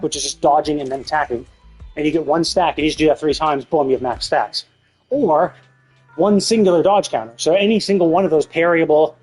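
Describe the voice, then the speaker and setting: Casting: male game streamer, husky and a touch high, loose conversational delivery that wanders as he thinks aloud. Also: a little mellow. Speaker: video game livestreamer. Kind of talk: casual chat while streaming games.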